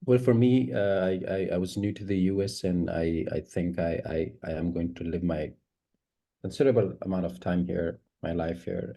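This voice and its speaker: Gravelly deep-voiced man from Afghanistan. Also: warm tone. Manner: halting, with many restarts and fillers. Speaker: fellowship member. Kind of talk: video call Q&A. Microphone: video call.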